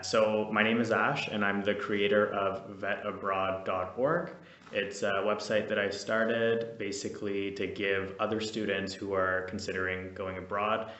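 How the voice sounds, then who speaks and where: A man's voice: on the low side, fairly bright tone, dull audio. Nervous young man in an echoey room. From Canada. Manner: nervous, rambling, many fillers and restarts. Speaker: vlogger, veterinary student. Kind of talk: casual to-camera vlog. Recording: echoey room, distant mic.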